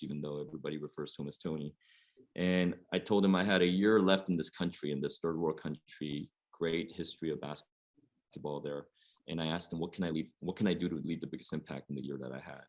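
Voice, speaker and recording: A man in his forties from Oregon, a high school basketball coach, telling his story at length on a webinar panel, with many fillers. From Oregon, USA. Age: 40s.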